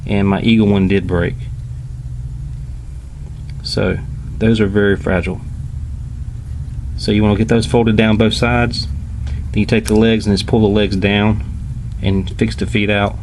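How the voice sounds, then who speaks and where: A man giving a casual, informal hands-on toy review, explaining step by step with hesitant pauses. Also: lowish voice, flat delivery, a little mellow. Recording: clearly noisy home recording.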